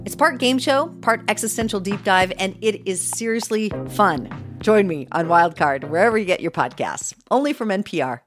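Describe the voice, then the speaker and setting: Woman, podcast promo read, lively intonation, music underneath. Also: mid-range voice, moderate pace. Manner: upbeat, promotional. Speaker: podcast host. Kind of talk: scripted ad read.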